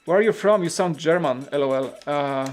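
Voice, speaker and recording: Relaxed Polish-accented man, faint music. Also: on the high side, normal speed. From Poland. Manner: rambling and relaxed, with many fillers and restarts. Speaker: live stream host. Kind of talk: casual live-stream chat, reading viewer comments.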